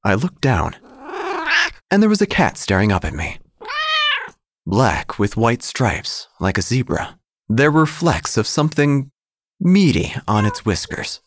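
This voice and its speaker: A man reading a scripted first-person horror story, with deadpan, dryly absurd delivery. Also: medium pitch, measured pace, long pauses, no echo, studio quality. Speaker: narrator.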